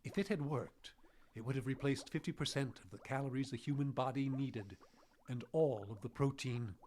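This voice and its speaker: An actor playing an elderly, guilt-ridden scientist with failing memory, speaking formally and haltingly in a radio drama. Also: male voice, mid-range voice, darkish tone, lightly acted. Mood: regretful, anguished. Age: older. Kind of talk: live radio drama acting. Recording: noisy live radio drama recording.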